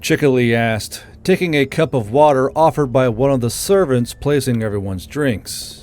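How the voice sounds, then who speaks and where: A man's voice: mid-range voice, normal speed, a little mellow. A man reading scripted third-person narration for a fantasy audiobook.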